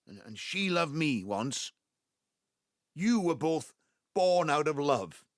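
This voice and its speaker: An ageing Welsh patriarch in an audio drama, with a fairly high voice that swings widely. He is proud and emotive, blustering one way and tender the other, recorded close and dry. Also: big pitch swings, slow pace, dry recording. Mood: wistful, tender. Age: 70s. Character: ageing, proud, sentimental estranged father. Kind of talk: naturalistic audio drama acting.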